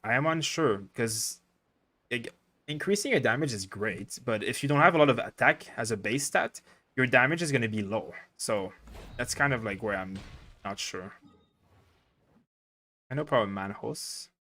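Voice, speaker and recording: A man's voice: mid-range voice, lively intonation, normal speed, long pauses, pushed and bright. A young man from Quebec with a Quebec French accent, casually chatting through a livestream and thinking aloud with lots of fillers. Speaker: game streamer.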